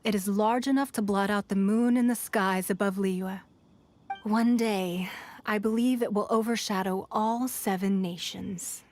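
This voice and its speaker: Woman acting powerful lady leader, video game, poised, formal, shrewd, medium pitch, measured. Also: a little mellow, a little hiss or hum, lightly acted. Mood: gracious, proud. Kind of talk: scripted video game cutscene dialogue.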